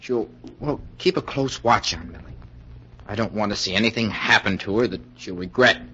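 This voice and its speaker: A man's voice: fairly low pitch, moderate pace, bright, forceful tone, breathy edge. An actor playing an earnest, suspicious suitor in a 1940s radio thriller, urgent and insistent in his delivery. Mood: wary, insistent. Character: suspicious, jealous, earnest male suitor warning of danger. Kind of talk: 1940s radio suspense acting. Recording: muffled 1940s radio recording.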